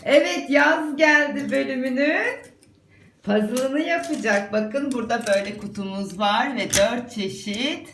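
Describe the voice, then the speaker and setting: A woman with a fairly high, creaky voice narrates and chats with a small child. She is encouraging and full of praise, speaking casually in an echoey room at home with some music behind her. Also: a little slow, background noise. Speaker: mother filming and narrating her child's puzzle activity.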